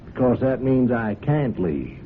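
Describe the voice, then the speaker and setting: A man's voice: big pitch swings, a little slow. Male radio-drama role: folksy, ingratiating ranch-country blackmailer who wheedles hesitantly; narrow-band, phone-like sound. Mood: sly, menacing. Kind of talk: old-time radio drama acting. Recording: muffled narrow-band radio, rumbling background noise.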